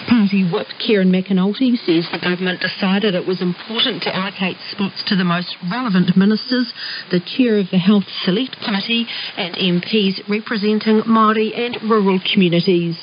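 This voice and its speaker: A woman's voice: medium pitch, muffled recording. Woman reading news over hissy shortwave radio. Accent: New Zealand. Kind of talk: scripted news report.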